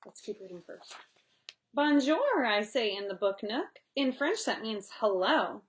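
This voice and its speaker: A woman reading a picture book aloud to young children in an expressive way, pausing to explain and chat. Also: mid-range voice. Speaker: read-aloud storyteller.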